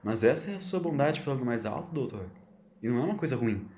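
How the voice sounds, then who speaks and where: A man voicing a kind young woman companion, earnest and encouraging, a little higher than his own voice. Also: animated, fast talker, darkish tone, lightly acted. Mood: reassuring. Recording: roomy echo, faint hiss.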